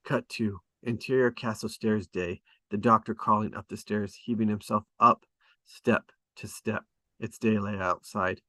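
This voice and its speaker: A man reading screenplay stage directions as scripted narration, with deliberate dramatic emphasis. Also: lowish voice, steady tone, fairly bright tone, dry recording. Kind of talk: scripted narration at a table read.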